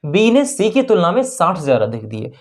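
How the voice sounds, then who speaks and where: Indian lady maths teacher, lowish voice, goes step by step, repeats stuff and asks little check questions. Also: sing-song, moderate pace. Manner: explanatory, repeats phrases and asks herself rhetorical questions. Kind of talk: step-by-step exam maths lesson.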